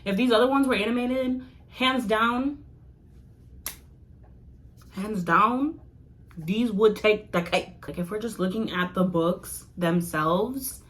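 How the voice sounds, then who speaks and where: A young woman chatting casually to her viewers in a rambling review, laughing often and filling pauses with "like" and "um". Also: medium pitch, fairly bright tone, low-quality recording. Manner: chatty, giggly, rambling with many fillers. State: losing her voice. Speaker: vlogger reviewing comics.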